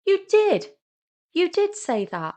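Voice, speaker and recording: Woman doing an awkward forty-something bloke in an audio rom-com, nervous, huffy, gets flustered and indignant fast. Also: very high-pitched, huge pitch swings, dark tone, pitched above the performer's own voice, exaggerated voice. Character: shy, awkward, easily flustered forty-something older brother.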